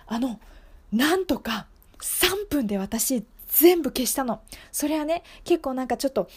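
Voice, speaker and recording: High-voiced earnest young woman teacher , acted by a woman. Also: a little mellow, slightly rough. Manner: confiding, emphatic, repetitive storytelling. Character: earnest young female schoolteacher telling her exam story. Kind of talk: improvised radio drama monologue.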